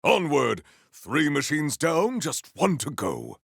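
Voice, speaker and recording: A man voicing a big, formal, earnest warrior in a video game, with stately, measured phrasing and a solemn temper. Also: huge pitch swings, dry recording, clean studio sound, exaggerated voice. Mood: rousing, emphatic. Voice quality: deep, gravelly.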